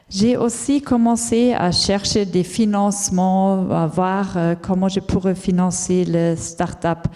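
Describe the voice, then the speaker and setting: A woman who is not a native French speaker tells her own story as a conference talk, careful and halting, with many fillers and restarts. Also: medium pitch, warm tone. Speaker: entrepreneur giving a conference talk. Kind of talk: personal story told as a talk. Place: large lecture hall. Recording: long reverb of a large hall.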